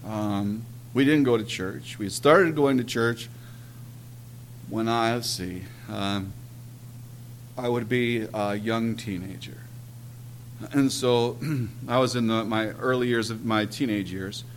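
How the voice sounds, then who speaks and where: Earnest male preacher, throat-clearing. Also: lowish voice, slow pace, a little hiss or hum, poor audio. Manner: often clears his throat, many filler "uh"s. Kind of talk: sermon with personal testimony.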